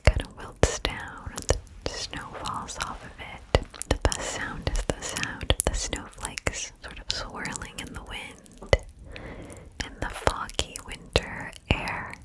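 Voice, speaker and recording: Asmr lady whispering a guided visualization, breathy n soothing, takes it real slow. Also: rough recording. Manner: soothing, slow, gentle pauses. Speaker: ASMR video host.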